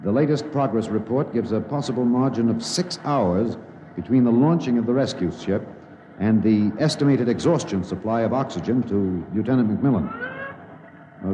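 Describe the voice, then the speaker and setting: An earnest American radio reporter played by a man narrates in 1950s drama style. His voice is medium in pitch and his delivery grave, formal and deliberate. The old broadcast recording carries a faint layer of noise. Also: lightly acted. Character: earnest network radio newsman narrating a space rescue.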